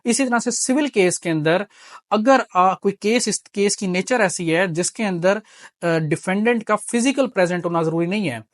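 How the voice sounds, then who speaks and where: A man's voice: high-pitched, normal speed, no echo, studio quality. A man with a Pakistani accent giving an explanatory law lecture, mixing in English terms and teaching didactically through examples and repeated key points.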